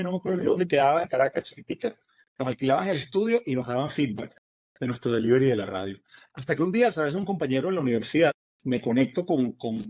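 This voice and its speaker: Middle-aged Venezuelan man, animated guest, brisk and slightly raised in pitch. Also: husky, dry recording, clean studio sound. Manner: animated, fast, acts out quoted dialogue. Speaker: guest, author. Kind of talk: storytelling interview answers.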